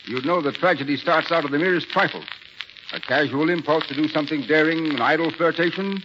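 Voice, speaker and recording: An actor playing a sensible middle-aged district attorney with a worldly, teasing way, in 1940s radio drama style. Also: male voice, a little high, fairly quick, lightly acted. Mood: cautioning. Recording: dull, noisy old radio recording.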